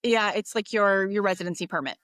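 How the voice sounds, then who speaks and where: A woman's voice: medium pitch, brisk, a bit bright, no room sound, studio quality. American woman reflecting on life abroad. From United States. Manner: reflective, rambling, with fillers and restarts. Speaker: podcast guest. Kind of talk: casual interview talk.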